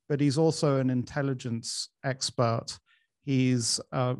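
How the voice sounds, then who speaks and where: A man hosting an interview, introducing and questioning his guest in a friendly, gracious way. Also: mid-range voice. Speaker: host. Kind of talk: casual interview conversation.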